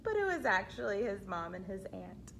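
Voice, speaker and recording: A woman reading a children's book aloud expressively, pausing to explain things simply like a teacher. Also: mid-range voice, sing-song, creaky, fairly bright tone, slight background noise. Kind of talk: read-aloud storytelling with explanatory asides for children.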